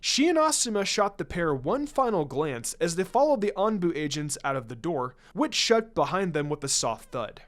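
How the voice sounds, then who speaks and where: Animated, higher-pitched man narrating a story. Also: moderate pace, hardly any pauses. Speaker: fan fiction reader. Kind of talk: scripted story narration, dramatic reading. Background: background music.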